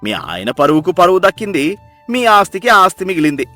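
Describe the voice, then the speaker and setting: A man voicing a jovial, teasing village neighbour in an animated moral story, loud and hearty. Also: high-pitched, a little fast, soft background music, voice pushed up, exaggerated voice. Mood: teasing, delighted.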